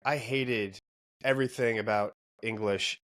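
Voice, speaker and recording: A man's voice: lowish voice, steady tone, a little slow, a bit bright, no echo. A man hosting a podcast in casual conversation, informal and opinionated. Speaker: podcast host. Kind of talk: casual chat.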